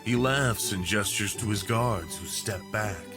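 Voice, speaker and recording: A man reading scripted second-person story narration in a measured, hushed storytelling manner. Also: on the low side, gravelly, music in the background.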